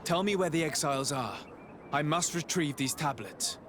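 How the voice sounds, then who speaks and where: An actor playing a composed, firm envoy in a video game, polite, formal and direct. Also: male voice, on the high side, expressive, normal speed, noisy background, natural voice. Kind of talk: video game cutscene acting.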